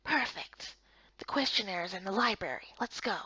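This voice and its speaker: A woman voicing a gentle, patient male guard official in a soft, breathy, reassuring way for a game story. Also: mid-range voice, animated, moderate pace, no echo, highs cut off.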